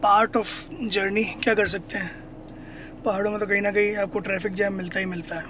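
A man's voice: sits high, level tone, brisk, a bit bright, a little hiss or hum. A man chatting and joking as he vlogs a family road trip, laughing often. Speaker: travel vlogger. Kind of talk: casual family travel vlog. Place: roadside stall, car and family home.

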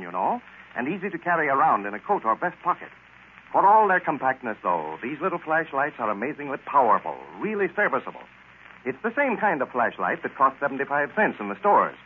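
Male vintage radio pitchman, conversational and lively, thin band-limited sound. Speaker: radio announcer. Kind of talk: old-time radio sponsor announcement. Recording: tinny, noisy old radio recording.

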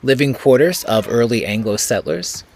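A man reading a calm, measured voice-over narration. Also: mid-range voice. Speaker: history YouTuber guest.

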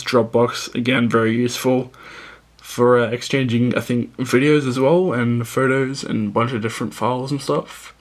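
A young man with a croaky voice from a cold, casually walking through the apps on his tablet in a dim room, rambling and correcting himself as he goes. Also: medium pitch. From Australia. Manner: rambling, self-correcting, apologetic. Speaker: app reviewer. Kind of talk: casual app walkthrough. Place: dim room indoors, filming a tablet screen.

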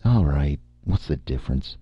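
A man voicing a poised, sly young woman making a pushy business offer, with a husky, gravelly edge. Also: very deep voice, normal speed, no echo, exaggerated voice. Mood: cool, persuasive. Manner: calm, coaxing, sly. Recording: dull lo-fi recording.

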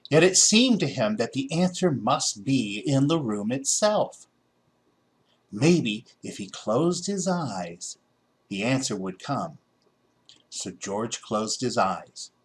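A middle-aged American man narrating a children's story expressively. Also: medium pitch. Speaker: storybook reader.

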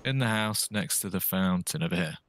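A man's voice: sits low, fairly quick, a bit bright. A man from Britain co-hosting a game stream, politely reading out chat and thanking subscribers. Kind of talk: casual chat while gaming. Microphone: gaming headset.

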